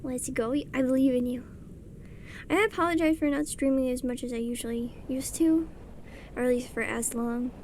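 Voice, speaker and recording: A young woman with a soft voice chatting casually and rambling while she draws on a livestream. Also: high voice, normal speed, a little hiss or hum. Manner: chatty, rambling, self-deprecating, mutters settings under her breath. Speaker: streamer. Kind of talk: casual chat while drawing on stream. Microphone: streaming mic.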